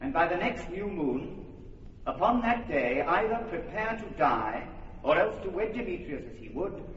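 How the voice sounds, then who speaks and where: A commanding duke delivers his judgments in a measured, slightly high voice. The sound is distant and echoey, with the dull hiss of an old radio recording. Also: big pitch swings, a bit bright. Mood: stately. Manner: measured, authoritative verse speech. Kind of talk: old radio verse drama.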